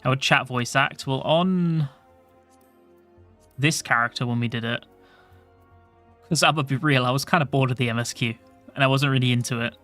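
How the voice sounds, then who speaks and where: A man streaming a game, chatting casually to his viewers in a soft voice, rambling and swearing offhandedly. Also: mid-range voice, normal speed, long pauses, faint music behind. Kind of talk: casual livestream chat.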